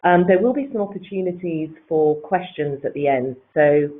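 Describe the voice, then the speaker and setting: A man's voice: fairly high pitch, animated, normal speed. A man moderating a webinar, upbeat and welcoming, a little rambling as he introduces the speakers. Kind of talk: hosting a webinar. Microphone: video call. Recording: tinny webinar audio.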